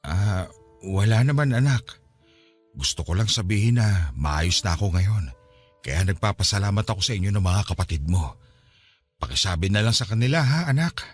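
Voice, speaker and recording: A man's voice: fairly low pitch, expressive, normal speed, pauses often, husky. An actor playing a remorseful father of grown children in a radio drama, hesitant and apologetic as he pleads. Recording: dramatic music behind the voice. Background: music.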